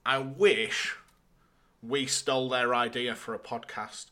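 A man with a bright, forceful voice at an average pitch co-hosts a podcast. His delivery is casual and conversational, like a chat between mates. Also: steady tone, slow pace. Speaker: podcast co-host.

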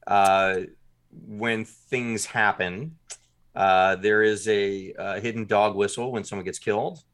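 A man's voice: fairly low pitch, fairly even intonation, takes it slowly, a bit bright. A man talking as a guest in a podcast interview, explaining his production work in detail and acting out short examples.

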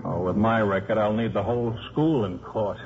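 A man's voice: fairly low pitch, steady tone, moderate pace, lightly acted. An actor playing a wisecracking, rueful football coach and father in a 1950s radio drama, with an offhand manner. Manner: wry, offhand. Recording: muffled, noisy 1950s radio broadcast.

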